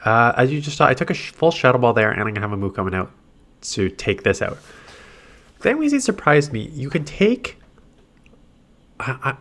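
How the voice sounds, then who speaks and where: Congested man, slightly low voice, nasal, loose filler-heavy gaming commentary. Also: fairly bright tone. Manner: chatty, filler-heavy, thinking aloud. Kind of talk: casual play-by-play commentary over game footage.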